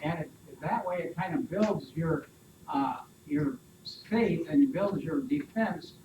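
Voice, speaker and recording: A man in a church study group thoughtfully shares his view in long, loose sentences. He sits far from the mic in an echoey room, with loud noise behind him. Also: a little high, takes it slowly. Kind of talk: casual discussion.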